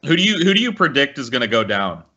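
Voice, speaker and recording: A man's voice: mid-range voice, big pitch swings, fast talker, bright, forceful tone, slightly rough. A man hosting a podcast with casual, opinionated banter, blunt and full of swearing. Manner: blunt, profane. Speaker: podcast host. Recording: slightly dull podcast audio.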